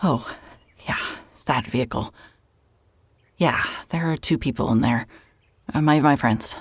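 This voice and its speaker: A worn-out traveller in an audio drama. Her voice is low and husky, and she talks in halting, apologetic fragments, evasive and tired. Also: no echo, studio quality, heavily acted. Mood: flustered, hesitant. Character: weary, evasive woman, a traveller staying at a motel. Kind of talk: naturalistic audio drama acting.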